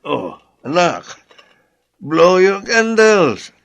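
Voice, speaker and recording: An actor playing a devoted, playful father in a Filipino radio drama, speaking in a chuckling, expressive manner. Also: high-pitched, drawn-out words, creaky, dry recording, full character voice. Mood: proud, doting.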